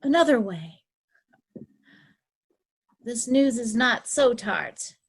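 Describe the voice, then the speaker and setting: A woman acting a ruthless, scornful noblewoman in Shakespearean drama. Her voice is high and her verse slow and deliberate, each line declaimed with biting contempt. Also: expressive, slightly rough, full character voice. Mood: brooding, calculating. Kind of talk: Shakespearean reader's theatre.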